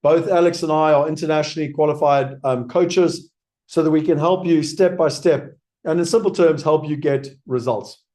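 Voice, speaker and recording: A man's voice: fairly high pitch, moderate pace, warm tone. A man with a South African accent hosting a live webinar, answering audience questions in an emphatic way that repeats key points. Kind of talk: live webinar Q&A sales pitch.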